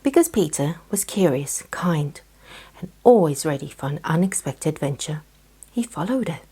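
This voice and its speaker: Casting: female bedtime storyteller, low-pitched, soft and breathy, gentle read for children. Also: animated, moderate pace.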